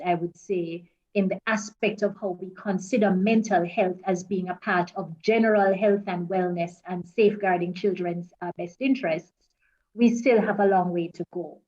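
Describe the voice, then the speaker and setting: Measured Jamaican woman, muffled audio. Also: medium pitch, a little mellow. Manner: measured, formal. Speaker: panelist. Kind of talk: panel discussion.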